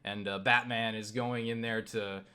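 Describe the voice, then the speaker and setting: Bright, forceful man rambling casually. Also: on the low side, level tone, clean tone. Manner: halting, self-interrupting, circling back with repeated "you know"; casually profane. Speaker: YouTube vlogger. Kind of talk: rambling casual vlog monologue.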